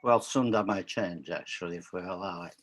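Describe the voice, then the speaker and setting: An older man from Romania giving wry, deadpan opening remarks with many hesitations, on a webcam video call from a book-lined study at home. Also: low-pitched, a little fast. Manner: wry, deadpan humor with frequent uh fillers. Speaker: curator and poet. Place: home study with bookshelves, indoors.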